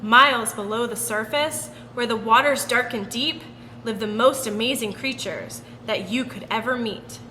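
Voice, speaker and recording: A woman reads a children's story aloud in a high, bright voice. Her delivery is expressive and sing-song, and it carries a long echoing reverb. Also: moderate pace. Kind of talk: expressive picture-book read-aloud for children. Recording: roomy long reverb with background noise.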